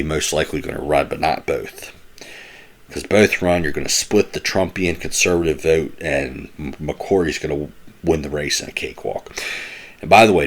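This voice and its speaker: Low-voiced, animated man, a bit hoarse. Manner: animated, opinionated, with frequent restarts. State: slightly hoarse. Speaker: political commentary vlogger. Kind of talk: casual political commentary.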